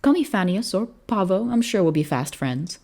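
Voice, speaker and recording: A reader voicing a cheeky, dutiful young Roman officer in a story, brisk, formal and chatty. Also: mid-range voice, expressive, darkish tone, voice pushed up.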